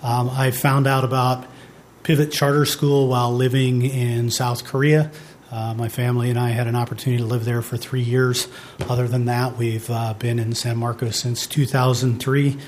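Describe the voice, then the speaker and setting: A man, a parent, giving a halting public comment at a school board meeting. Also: mid-range voice, flat delivery, darkish tone, slight background noise.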